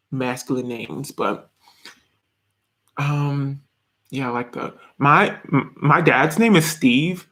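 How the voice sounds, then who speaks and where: A man in his twenties chatting casually on a live stream from his bedroom, reading comments, laughing often and restarting his sentences. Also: medium pitch. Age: 20s. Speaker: YouTuber reading live chat. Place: small bedroom, indoors.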